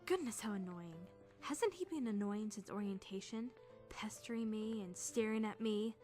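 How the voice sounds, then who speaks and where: A woman's voice: mid-range voice, normal speed, dark tone, natural voice. A woman playing a sarcastic, easily flustered teenage girl trainee in a visual novel dub, narrating her thoughts and stammering when nervous. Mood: exasperated. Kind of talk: first-person visual novel narration and dialogue. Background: music.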